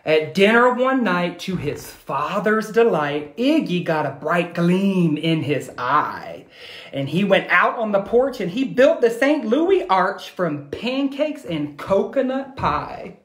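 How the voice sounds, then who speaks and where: An American man, a teacher in his thirties, reading aloud to children and asking them questions in an expressive, instructional way, recorded on a phone at home. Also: high voice, slow pace. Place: small room at home, indoors. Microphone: phone at arm's length. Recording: echoey room, phone recording.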